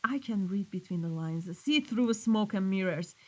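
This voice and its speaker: Menacing female crime boss, played by a woman, mid-pitched, stern and commanding, for an audio roleplay. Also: lively intonation, normal speed, dark tone, close to an everyday voice. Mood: scornful. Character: cold, menacing female mafia boss dealing in weapons. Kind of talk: scripted audio roleplay drama.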